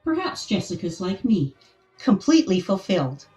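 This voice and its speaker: An earnest, conscientious woman played by an actress in a radio play. Her pitch moves in lively swings as she gently corrects, in a close, dry recording. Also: normal speed, husky, dry recording, muffled recording, soft background music. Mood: earnest, uneasy.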